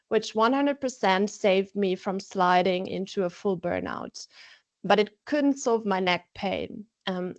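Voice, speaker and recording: A woman with a German accent presents on a video call. She sounds friendly and upbeat, and she pauses on small fillers as she speaks English as her second language. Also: mid-range voice. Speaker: webinar presenter, stress coach. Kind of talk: webinar self-introduction. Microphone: video call from home.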